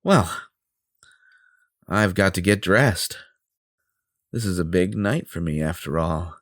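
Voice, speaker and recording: A man's voice: sits low, takes it slowly, no echo, clean studio sound. A man playing a vain, possessive superhero in an audio roleplay, theatrical and self-important, with a flirtatious streak. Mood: scheming, smug.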